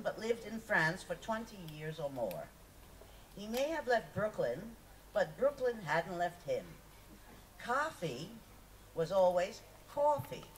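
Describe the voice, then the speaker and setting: Woman reading aloud, lively intonation, distant mic. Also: on the low side. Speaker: reader at a poetry festival. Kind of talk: reading a memoir aloud to an audience. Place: live festival reading, picked up from a distance. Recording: distant mic, noisy live event recording.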